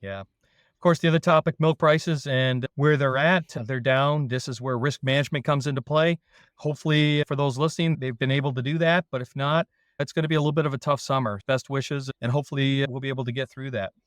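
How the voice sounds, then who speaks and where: A man's voice: medium pitch, a little fast, dry recording, clean studio sound. A man with a Canadian accent, a dairy consultant leading a casual podcast chat with self-deprecating jokes. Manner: self-deprecating, jokey, relaxed.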